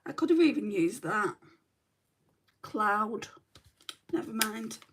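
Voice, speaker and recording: A woman's voice: mid-range voice, animated, slow pace, husky. A middle-aged woman with a northern English accent, chatting casually through a makeup livestream, reading out comments and muttering to herself as she works. She says she is in back pain. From northern England. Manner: chatty, self-deprecating, mutters to herself while working.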